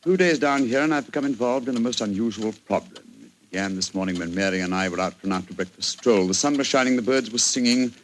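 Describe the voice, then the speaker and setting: An actor playing a cool, amused English detective, crisp and wry, with a British accent in 1940s radio style. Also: male voice, mid-range voice, quick pace, few pauses, natural voice. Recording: noisy vintage 1940s radio recording.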